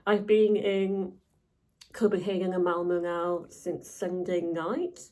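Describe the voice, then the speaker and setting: A young woman with a lowish voice chatting to camera in an easygoing vlog. She talks slowly, full of ums and likes, with fan enthusiasm. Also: flat delivery, darkish tone. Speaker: vlogger.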